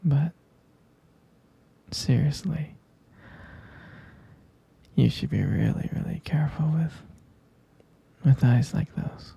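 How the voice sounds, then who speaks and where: ASMR roleplay boyfriend, male actor: tender and devoted, hushed and intimate, gravelly fry, unhurried delivery. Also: fairly high pitch, exaggerated voice. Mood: adoring. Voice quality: breathy, husky whisper. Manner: slow, doting, lingering over words. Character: tender, devoted boyfriend comforting his pregnant partner.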